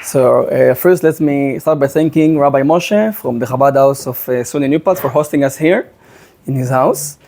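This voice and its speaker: A man's voice: mid-range voice, moderate pace, dark tone. A man of thirty with an Israeli accent, giving an earnest, explanatory presentation with frequent hesitations. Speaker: guest speaker, presenter. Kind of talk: public presentation to a student club.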